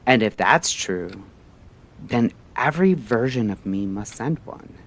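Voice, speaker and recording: A man narrating a scripted story like a late-night radio host, in a measured, theatrical way with short phrases and pauses. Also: on the low side, lively intonation.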